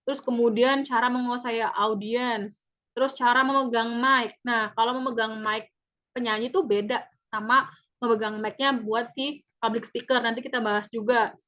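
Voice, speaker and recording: A woman, a public speaking trainer giving a chatty, upbeat webinar pitch and repeating key points. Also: steady tone, normal speed, fairly bright tone. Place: online webinar. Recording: dull lo-fi webinar audio.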